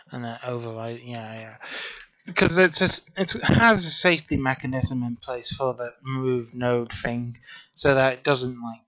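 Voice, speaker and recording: A man's voice: medium pitch, fairly bright tone, rough recording. A man casually walking through a program on screen, chatty and rambling, his voice just back after losing it. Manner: chatty, rambling, self-correcting. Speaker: software tutorial creator. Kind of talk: casual screen-recorded walkthrough.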